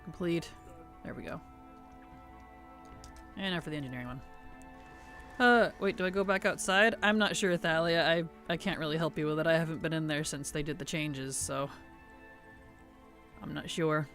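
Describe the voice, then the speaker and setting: A streamer chatting casually while playing a game and answering chat, speaking around a cough drop with a slightly rough, sensitive throat. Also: medium pitch, moderate pace, pauses often, a bit bright, soft background music.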